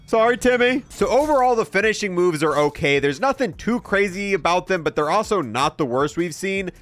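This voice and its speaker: Young guy doing gaming video commentary, kinda high voice, casual and explainy. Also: expressive, a little fast, a bit bright. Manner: matter-of-fact, explanatory. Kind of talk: casual game showcase commentary.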